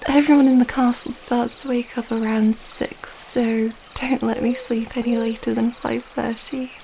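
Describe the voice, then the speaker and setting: Soft, high-voiced young princess acted by a woman. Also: little pitch movement, unhurried, creaky, slight background noise, rough recording. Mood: tender, shy. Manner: soft, hesitant, gently rambling. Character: young princess, earnest, affectionate, slightly flustered lover. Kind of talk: intimate ASMR romance roleplay.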